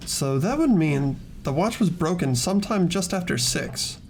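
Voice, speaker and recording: A man voicing an earnest, easily flustered teenage boy in an amateur visual novel dub, both narrating and speaking with a hesitant, expressive delivery. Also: fairly high pitch, moderate pace.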